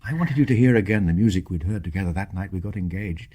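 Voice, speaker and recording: An actor playing a devoted, bedridden English husband in a radio drama, husky, earnest and well-spoken in Received Pronunciation, brave and tender with bitter despair underneath. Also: male voice, low-pitched, quick pace, mellow. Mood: loving, earnest. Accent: British Received Pronunciation. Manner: earnest, old-fashioned upper-class phrasing.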